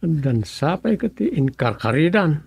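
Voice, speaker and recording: A man acts out a son in a radio comedy-drama. His voice is gravelly and creaky yet slightly high for a man. He talks to his father with an Ilocano accent. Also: very animated, measured pace, a little mellow, heavily acted. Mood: inquisitive. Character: son character chatting with his father about village politics.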